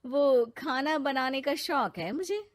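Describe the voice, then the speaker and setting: A woman's voice: high voice, takes it slowly. A woman playing a bold, sporty, self-assured young bride in a cartoon story. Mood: cheerful, modest. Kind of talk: cartoon moral story acting.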